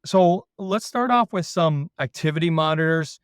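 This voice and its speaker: A man's voice: on the high side, normal speed, smooth, dry recording, clean studio sound. A man with a Canadian accent, a dairy consultant leading a casual podcast chat with self-deprecating jokes. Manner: self-deprecating, jokey, relaxed.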